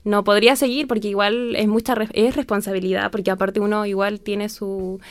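A woman's voice: mid-range voice, little pitch movement, a little fast, no echo. A young woman with a southern Chilean accent, a student guest chatting informally in an interview, rambling and repeating phrases. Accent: southern Chilean Spanish. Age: young adult. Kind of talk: casual spoken interview.

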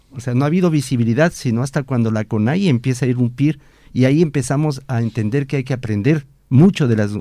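Man, from Ecuador, academic guest, halting speech, fillers, mellow dark tone, dry sound. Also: medium pitch, dry recording. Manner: hesitant, many fillers and repeated words. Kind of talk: conversational panel discussion.

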